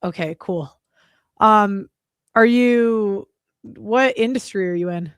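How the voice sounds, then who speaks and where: A woman's voice: mid-range voice, slow, smooth, dry recording, clean studio sound. A woman hosting a call-in show, talking casually with many likes and ums. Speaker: podcast host.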